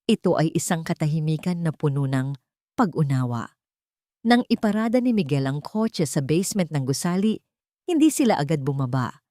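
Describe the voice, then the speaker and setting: A woman's voice: sits low, big pitch swings, normal speed, mellow, no room sound, clean studio sound. A woman reading a scripted romantic story as its narrator.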